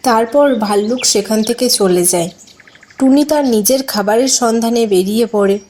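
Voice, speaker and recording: A woman's voice: fairly high pitch, moderate pace, a little mellow. A woman narrating a scripted children's folk tale.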